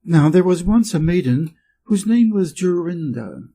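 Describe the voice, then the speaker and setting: A man from Wisconsin with an American accent, reading a fairy tale aloud slowly and clearly for English learners. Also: high-pitched, big pitch swings, mellow. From Wisconsin, USA. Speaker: reader. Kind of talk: storybook reading.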